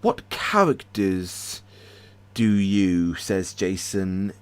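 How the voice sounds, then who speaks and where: A British man hosting a casual livestream, chatting hesitantly with many pauses and restarts. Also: low voice, animated, clean tone. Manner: hesitant, lots of ums and restarts, friendly. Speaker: ASMR channel host.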